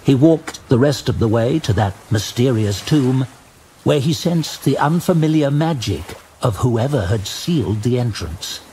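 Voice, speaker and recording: A man giving dramatic scripted narration of a fantasy tale in a measured, grave storytelling manner. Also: mid-range voice, lively intonation, dark tone, slight background noise, poor audio. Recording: music behind the voice.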